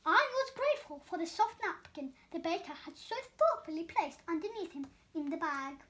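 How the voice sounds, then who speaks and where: A woman reading a children's storybook aloud as an expressive storyteller. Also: very high-pitched, moderate pace.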